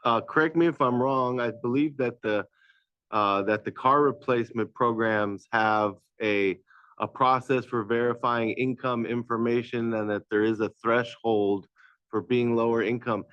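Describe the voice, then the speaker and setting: A man's voice: medium pitch, steady tone, measured pace, clean tone, no room sound, muffled recording. A man on an advisory group asking tentative follow-up questions at a public meeting, with frequent fillers.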